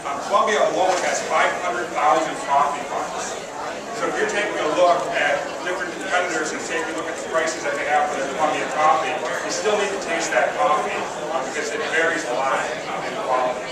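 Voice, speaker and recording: A man's voice: a little high, fairly quick. A man with a Wisconsin accent giving an informal, plain-spoken product presentation to an audience as a company representative. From Wisconsin, United States. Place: large echoey room at a trade food show. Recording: echoey hall, distant mic, crowd noise. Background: crowd chatter.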